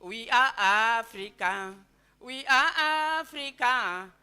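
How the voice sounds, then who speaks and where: A woman visiting a church, speaking and leading call-and-response singing in slow, drawn-out phrases. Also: on the high side, animated, pushed and bright, clear, resonant tone.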